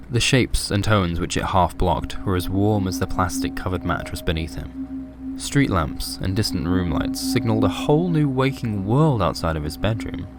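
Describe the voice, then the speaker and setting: A man reading a scripted, surreal horror story as its narrator. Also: low voice.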